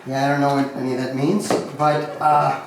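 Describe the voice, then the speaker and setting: A woman playing a gentle, good-natured peacemaking husband in an amateur stage reading. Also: low voice, level tone, a little fast. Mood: delighted. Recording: distant echoey room, noisy.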